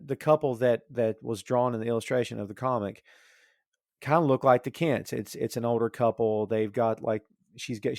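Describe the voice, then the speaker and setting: A man talks casually as a podcast host, working through his opinions out loud in a rambling way. His voice sits at a medium pitch, and the recording is dry and close, with no room sound. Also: no echo. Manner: rambling, thinking aloud. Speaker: podcast host discussing comics and film.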